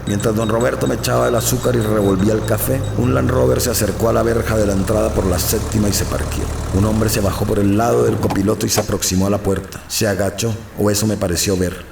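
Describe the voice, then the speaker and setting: An actor playing an earnest, uneasy seminarian priest who narrates an audio novel in the first person, in a confiding, measured, suspenseful way. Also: male voice, fairly low pitch, creaky, reverberant, distant mic, noisy background.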